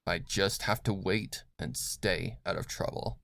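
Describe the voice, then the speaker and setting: A man's voice: deep voice, a little slow, creaky, fairly bright tone, dry recording, clean studio sound. A man reading fan fiction as a scripted, dramatic narration with a grave, suspenseful delivery.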